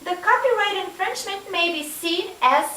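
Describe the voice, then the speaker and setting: Woman voicing a very high-pitched eager student puppet. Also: lively intonation, moderate pace, pushed and bright, exaggerated voice. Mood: earnest. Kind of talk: scripted puppet show dialogue. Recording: roomy, distant, hissy amateur recording.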